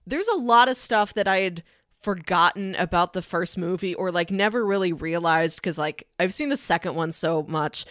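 A woman's voice: fairly low pitch, moderate pace, fairly bright tone, dry recording, clean studio sound. A woman co-hosting a film podcast in casual chat, acting out bits of dialogue as she retells scenes. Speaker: podcast co-host. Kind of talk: casual chat about films.

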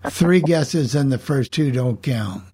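A man hosting a casual group call in an unhurried, folksy way, with a husky voice. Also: medium pitch, animated, dark tone. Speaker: host of club call.

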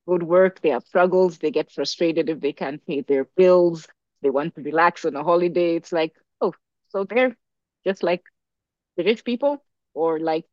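A woman's voice: on the low side, dry recording, dull audio. A young woman from Africa, a podcast guest, talking casually and telling a story with lots of fillers and restarts. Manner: animated storyteller with many fillers. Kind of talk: casual conversation.

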